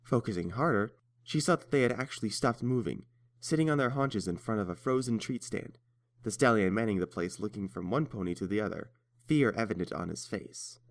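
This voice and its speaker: A man narrates a story from a script in a fairly low voice. The recording is dry and close. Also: expressive, brisk, no room sound.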